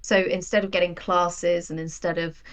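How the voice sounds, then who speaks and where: A woman guest on a podcast, a writer and game creator talking casually and telling things in an animated, quote-acting way. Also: fairly low pitch, normal speed.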